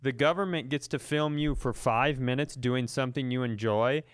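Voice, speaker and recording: A man's voice: medium pitch, normal speed, smooth, dry recording, studio quality. A man talking as a comedian podcast host in casual banter, rambling and riffing with plenty of swearing. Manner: rambling, riffing, profane storytelling.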